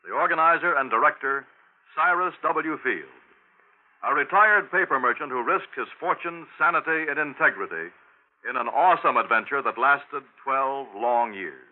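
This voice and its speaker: A man's voice: medium pitch, lively intonation, normal speed. An American man giving solemn, dramatic scripted narration in 1950s radio style. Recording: tinny, band-limited 1950s radio recording.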